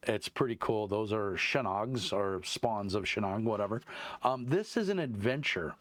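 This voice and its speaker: A deep-voiced man talks casually to his viewers about game books, wandering off on tangents and filling pauses with ums and uhs. His low voice sits close and dry on a clip-on wireless mic, with almost no room sound. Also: lively intonation, fairly bright tone, no room sound. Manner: meandering, many fillers and restarts. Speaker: YouTube channel host reviewing tabletop game books. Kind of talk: casual rambling chat to camera.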